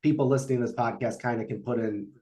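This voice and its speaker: A man's voice: mid-range voice, fairly even intonation, fast talker, dark tone, a little hiss or hum. A man co-hosting a podcast in conversation, asking questions and summing up for listeners. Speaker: podcast co-host. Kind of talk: conversational interviewing. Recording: roomy, echoing sound.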